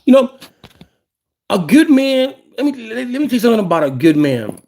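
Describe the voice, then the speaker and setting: A man of about fifty with a gravelly voice, talking casually and with opinions, often repeating phrases for emphasis. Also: very high-pitched, very animated, brisk, darkish tone. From South Bronx, New York, USA. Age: around 50. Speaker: host.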